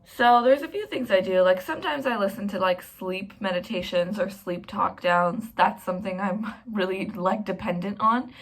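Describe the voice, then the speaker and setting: A woman's voice: mid-range voice, moderate pace, few pauses. Young female vlogger, chatty and rambling, self-deprecating, casual talk to camera. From Canada.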